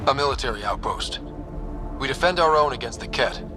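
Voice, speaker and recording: An actor playing a young, earnest and easygoing space explorer leader in a video game. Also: male voice, mid-range voice, lively intonation, fairly quick, music in the background, natural voice. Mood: earnest, resolute. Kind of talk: video game dramatic dialogue.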